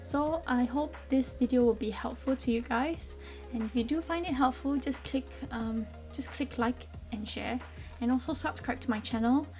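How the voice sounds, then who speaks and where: Young Malaysian woman vlogger, brightish voice, chatty, lots of ums, soft music under her. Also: high-pitched, normal speed. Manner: chatty, friendly, frequent ums. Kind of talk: casual explainer vlog.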